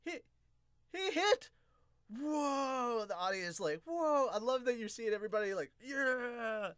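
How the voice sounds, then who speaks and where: An animated podcast host with a bright, forceful voice and a wide swing in pitch. The delivery is informal and exclamatory, sweary and excited, like live reaction commentary. The recording is close and dry. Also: unhurried, clear, resonant tone, dry recording. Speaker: host reading and reviewing a manga.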